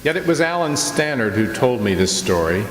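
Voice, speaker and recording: A man's voice: medium pitch, big pitch swings, normal speed, close to an everyday voice. A man playing the grave narrator of a ghostly radio play, storytelling in a dramatic scripted style. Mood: grave suspense. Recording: echoey hall, faint noise.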